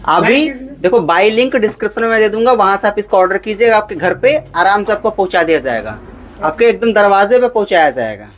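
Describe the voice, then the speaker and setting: Chatty man pitching his unboxing. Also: animated, brisk, a bit bright. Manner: chatty, keeps addressing viewers as brother; repeats points for emphasis. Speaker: vlogger. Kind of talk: casual unboxing vlog.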